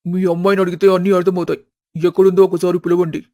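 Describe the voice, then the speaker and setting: A man plays an aggrieved husband in a family tale, curt and accusing. His angry voice runs high for a man, recorded dry. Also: completely flat delivery, fast, dark tone, dry recording.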